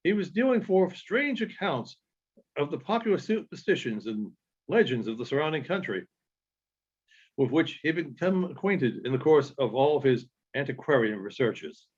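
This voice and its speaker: Husky, expressive man reading a story. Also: on the high side, dull audio. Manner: measured storytelling with halting phrasing. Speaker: audiobook reader. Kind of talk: literary narration read aloud.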